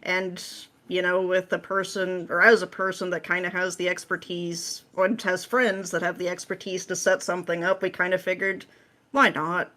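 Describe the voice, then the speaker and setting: A woman's voice: lowish voice, level tone, normal speed, bright, forceful tone. A woman speaking as an interview guest in an informal, wry, conversational way. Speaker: podcast guest, script writer. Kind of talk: casual interview chat.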